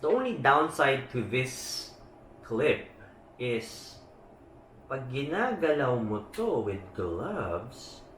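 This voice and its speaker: A man's voice: medium pitch, big pitch swings, takes it slowly, a bit bright, a little hiss or hum. A man with a Filipino accent gives a casual unboxing commentary, chatting in mixed English and Tagalog and often tagging his sentences with "no?". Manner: chatty Taglish with frequent "no?" tags. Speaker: motovlogger. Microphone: small handheld camera mic. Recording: echoey room. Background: background music.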